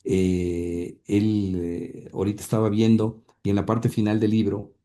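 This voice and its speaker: A man with a lowish, very dark and mellow voice, talking in an interview. He speaks earnestly and haltingly, full of fillers and restarts, and at times reads quotes aloud. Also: little pitch movement. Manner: halting, many fillers and repeated words; earnest, heartfelt. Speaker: interview guest, grandson and author.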